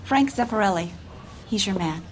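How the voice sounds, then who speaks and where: A woman's voice: mid-range voice, animated, moderate pace, slight background noise, natural voice, poor audio. A woman playing a courteous, helpful older bus depot clerk in a video game. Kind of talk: video game drama acting.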